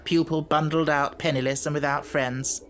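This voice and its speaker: A man's voice: a little high, little pitch movement, normal speed, fairly bright tone, slight background noise, close to an everyday voice. A man playing a shrewd, unruffled businessman with a dry, detached manner in an audiobook story. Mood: coolly shrewd. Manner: dry, detached, matter-of-fact.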